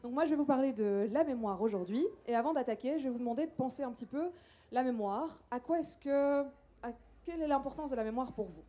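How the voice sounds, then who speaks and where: A woman's voice: high-pitched, fast talker, warm tone. A woman from Belgium with a Belgian French accent, giving a public science talk and addressing the audience directly with everyday examples.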